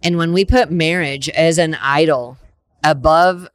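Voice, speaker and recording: Earnest woman with a smooth, dry voice. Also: sits low, a little slow, no echo. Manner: earnest, emphatic, preaching tone. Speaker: podcast guest, ministry leader. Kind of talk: faith-based interview conversation.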